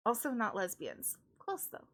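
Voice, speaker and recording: A woman's voice: huge pitch swings, normal speed, a bit bright. A young woman, a classical singer streaming live, chatting casually with lots of restarts and giggly asides. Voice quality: high singing voice. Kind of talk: casual stream chat, then singing along.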